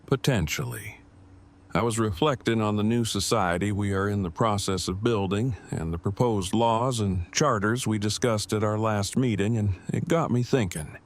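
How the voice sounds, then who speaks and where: A man playing an earnest, bookish bridegroom in an audio drama, speaking in a formal, wordy, precise and measured way. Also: on the low side, lightly acted. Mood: formal, thoughtful.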